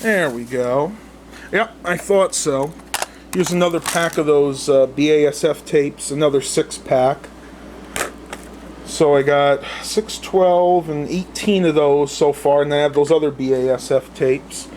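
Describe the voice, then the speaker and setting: A man's voice: fairly high pitch, unhurried, background noise. A man chatting casually and unscripted to viewers, thinking aloud in colloquial language as he shows things at home. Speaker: vlogger showing a haul of vintage cassette tapes. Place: indoors at home.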